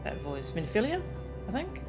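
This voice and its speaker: A woman's voice: medium pitch, huge pitch swings, moderate pace. A woman streaming a video game, giving casual live reactions and musing aloud in short remarks. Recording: game music behind voice. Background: video game music.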